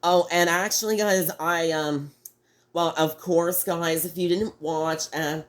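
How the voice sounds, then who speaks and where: Slow-talking male reviewer, sick and slightly off-sounding, rambling and halting. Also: fairly high pitch, steady tone. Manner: halting, rambling, frequent restarts. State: sick, voice a little off. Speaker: toy review host. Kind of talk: casual video review.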